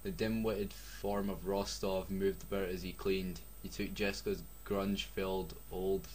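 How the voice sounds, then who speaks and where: A young man with a Scottish accent reads a clumsy story aloud and keeps breaking off to mock it, swearing freely. His voice sits low. A faint hiss of noise runs under the recording. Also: level tone, unhurried, a little mellow. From Scotland. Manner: sarcastic, swears a lot, breaks off to joke. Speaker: reader. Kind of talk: mocking comedic reading of a story.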